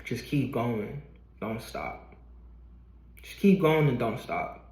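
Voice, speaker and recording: Male vlogger, late twenties, mid-pitched, earnest motivational rambling with casual cursing, echoey room sound. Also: lively intonation, slow pace, darkish tone. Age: 20s. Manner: earnest, rambling, swears casually, repeats himself. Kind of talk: motivational vlog talking to camera. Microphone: camera.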